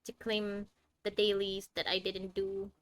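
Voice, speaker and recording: A woman's voice: mid-range voice, completely flat delivery, a little slow. A woman giving casual, chatty live commentary as she streams a game and reacts to it.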